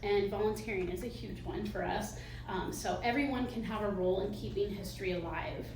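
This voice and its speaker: A soft-spoken woman, a museum director, giving a live fundraising pitch with nervous little laughs and many ums. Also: fairly quick, a little hiss or hum. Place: meeting hall with audience. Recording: echoey hall from far off.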